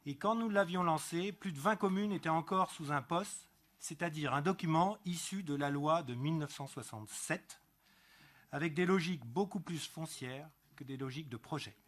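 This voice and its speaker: A man speaking as an elected councillor, giving a prepared political speech in a deliberate, didactic manner with emphatic repetition. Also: fairly high pitch, fairly bright tone. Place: council meeting.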